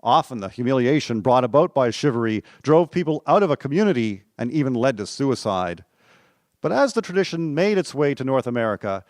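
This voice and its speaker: A man's voice: mid-range voice, no room sound, studio quality. A man narrating scripted local history for a radio drama.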